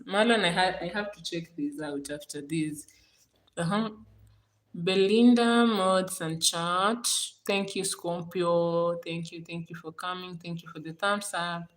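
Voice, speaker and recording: Kenyan woman with a low voice, thanking her viewers. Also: roomy sound, rough recording. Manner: repeats her thanks over and over; warm and gracious toward her viewers. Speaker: livestream host. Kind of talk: casual livestream chat with viewers.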